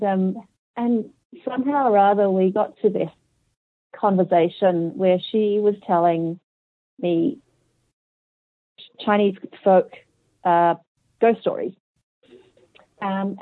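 An Australian woman speaking in a hesitant, thoughtful way, stopping often to find her words. Her voice sits a little low and comes through a thin, tinny phone-like line. The sound is dry and close, with no room around it. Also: no room sound. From Hong Kong. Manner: hesitant, thoughtful, many pauses and fillers. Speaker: author guest. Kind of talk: conversational interview answers.

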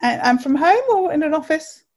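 A woman's voice: sits high, animated, moderate pace. A woman hosting her own podcast, reading her show intro and then interviewing a guest in an upbeat, encouraging, chatty way. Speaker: podcast host. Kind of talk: scripted intro and casual interview.

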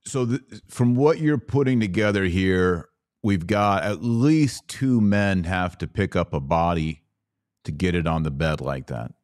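Deep-voiced man, dry sound. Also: measured pace, no room sound. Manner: questioning, thinks out loud. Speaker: podcast host. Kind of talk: casual podcast conversation.

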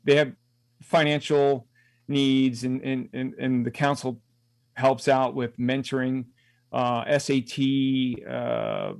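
A man's voice: mid-range voice, vocal fry, a little mellow, no room sound. A man talking as a guest on a radio show, stopping and restarting his sentences often. His voice sits mid-range and sounds close and dry, with no room around it. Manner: halting, many restarts and fillers. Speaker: guest, mentoring volunteer. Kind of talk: casual interview talk.

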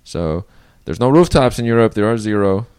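A man's voice: on the low side, lively intonation, moderate pace, darkish tone, clear, resonant tone, dry recording. A man hosting a podcast interview, asking questions in a casual, joking, rambling way. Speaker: podcast host.

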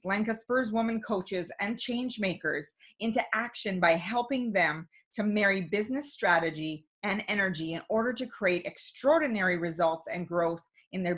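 A woman's voice: mid-range voice, a bit bright. A woman podcast host introducing a guest and asking questions in an upbeat way. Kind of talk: interview hosting.

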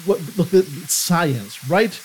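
A laid-back young man bantering in character at a tabletop game, quick with a joke and a casual curse. His pitch is lively and animated, rising high when he gets incredulous. Faint hiss lies underneath. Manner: jokey and laid-back, swears casually, laughs often. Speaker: player.

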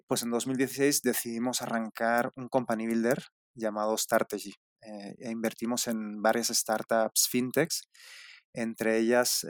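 A man in his forties from Spain whose Spanish accent has picked up some Mexican tones, answering as a founder guest in a relaxed interview with many fillers and restarts. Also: fairly low pitch, no echo, studio quality. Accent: Spanish with some Mexican influence.

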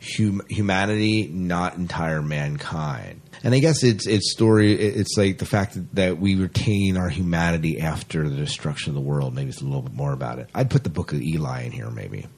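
Low husky man, chatty podcast host. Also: normal speed, few pauses, darkish tone. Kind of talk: casual sci-fi podcast chat.

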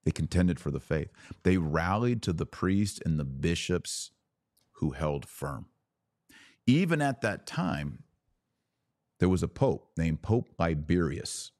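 Male religious commentator, low voice, dry close sound. Emphatic, punchy, repeats key phrases, challenges the listener directly. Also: lively intonation, slow, a little mellow, no echo. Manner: rhetorical questions to listeners, acting out imagined quotes. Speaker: podcast host and religious commentator. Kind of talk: impassioned religious commentary to a live audience.

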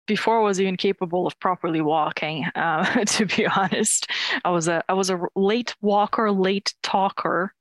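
A woman's voice: medium pitch, steady tone, normal speed, no room sound. A woman in her twenties from Ukraine, a dancer answering interview questions in a candid way, laughing often and restarting her sentences. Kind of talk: casual interview.

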